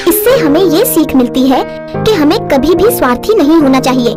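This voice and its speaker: A woman narrates a children's story from a script. Her voice is high in pitch, and music plays clearly behind her. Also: lively intonation, normal speed.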